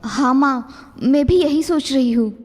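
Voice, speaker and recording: A woman's voice: high voice, measured pace, long reverb tail. A woman voicing an obedient, scheming young daughter who poses as a princess in a fairy tale. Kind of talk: dramatised children's fairy tale acting.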